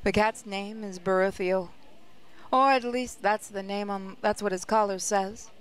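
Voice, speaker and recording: A woman's voice: medium pitch, fairly even intonation, unhurried, smooth. A woman reading visual novel narration aloud in a reflective, storytelling way. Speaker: gaming channel host, reader.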